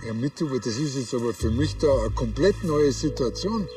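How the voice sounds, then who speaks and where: A man's voice: medium pitch, animated, normal speed, music in the background, close to an everyday voice. An actor playing an easygoing, evasive middle-aged country policeman in a crime comedy, speaking with a Bavarian accent. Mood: hesitant.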